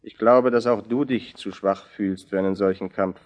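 Guy acting doubting soldier poking at his buddy, lowish, kinda muffled sound. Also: steady tone, normal speed, close to an everyday voice. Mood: skeptical, needling. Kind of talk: German biblical radio play acting.

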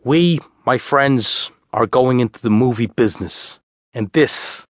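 A man's voice: mid-range voice, a little slow, no room sound, studio quality, close to an everyday voice. A man voicing a bossy, proud male ringleader who rallies his troupe in a declamatory, boastful way. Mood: rallying.

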